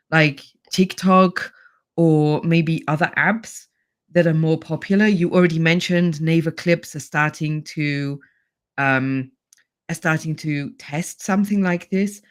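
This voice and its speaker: A woman hosting an interview podcast, thinking aloud and building her questions step by step in a conversational way. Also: sits low.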